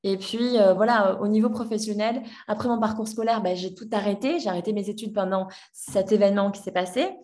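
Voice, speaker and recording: A young woman in her twenties tells her story as a podcast guest, pausing often and restarting her sentences. Her voice sits at a middle pitch. She sounds a little away from the microphone. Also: fairly even intonation, a little mellow. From France. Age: 20s. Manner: hesitant, many fillers and restarts. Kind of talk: personal story in a podcast interview. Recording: voice a bit distant from the mic.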